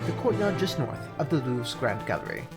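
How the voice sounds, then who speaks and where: A man's voice: medium pitch, very animated, a little fast, over music. A man narrating a video game story as he plays, reading the text aloud. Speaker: video game player reading all parts.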